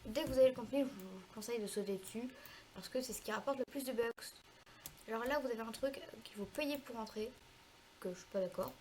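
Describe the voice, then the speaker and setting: Woman with a cold, stuffy nose, fairly high voice, chatty game walkthrough, lots of hesitant pauses, faint background hiss. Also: darkish tone. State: has a cold, congested. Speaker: gaming video creator. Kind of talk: casual gameplay tutorial commentary.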